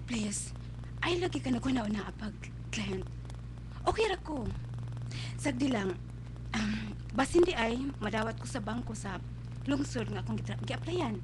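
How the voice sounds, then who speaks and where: A woman's voice: on the high side, animated, measured pace, long pauses, background noise. A woman playing an emotional young Cebuano woman in a radio drama, tearful and pleading. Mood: weary, reassuring. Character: emotional young woman, pregnant, pleading with her parents and sweetheart.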